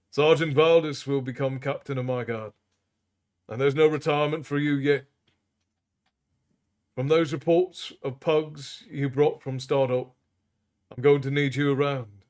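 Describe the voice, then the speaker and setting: A man voicing a composed, authoritative prince who speaks calmly and low. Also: a little slow, long pauses, lightly acted. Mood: commanding. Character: composed prince, commanding and wry.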